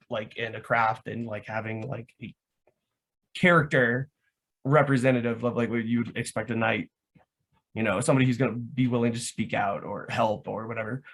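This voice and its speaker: An American man from Florida explaining things in a casual, rambling way, often padding with "like". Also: mid-range voice. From Florida, United States. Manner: rambling, filler-heavy with frequent "like". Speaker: guest, live action roleplay organizer.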